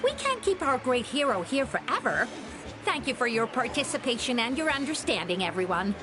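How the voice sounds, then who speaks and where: Chirpy young photographer, lively and high. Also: female voice, a little fast, music in the background. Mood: polite, firm. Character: brisk, upbeat young female press photographer. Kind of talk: anime game voice acting.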